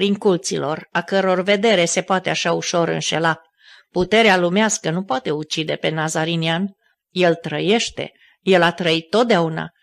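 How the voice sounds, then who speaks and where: Reader doing a dying Roman governor, feverish, raving, loud cries, audiobook. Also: mid-range voice, close to an everyday voice. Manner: weak and moaning, then wild shouting.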